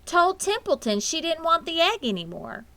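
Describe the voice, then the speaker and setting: A woman's voice: extremely high pitch, big pitch swings, moderate pace, gravelly, sharp tone, no echo, pitched above the performer's own voice, full character voice. Woman voicing an eager little farm girl. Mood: earnest. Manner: thoughtful, matter-of-fact. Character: eager, earnest young farm girl defending animal friends. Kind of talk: children's storybook reading.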